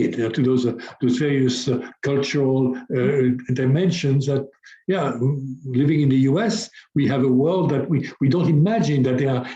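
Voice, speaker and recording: A man's voice: mid-range voice, warm tone, roomy sound, lo-fi sound. A middle-aged man from France, a business coach speaking as a guest in an interview, talking hesitantly with many restarts and fillers. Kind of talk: conversational interview.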